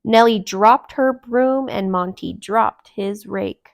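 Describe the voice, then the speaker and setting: A woman reading a children's storybook aloud in an expressive, deliberate storytelling manner. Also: medium pitch, vocal fry.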